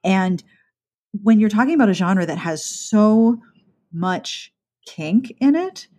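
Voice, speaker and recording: A woman's voice: medium pitch, animated, slow pace, a little mellow. A woman hosting a podcast interview in a talkative, thoughtful, casual way. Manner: thoughtful, talkative, frequent restarts. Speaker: podcast host.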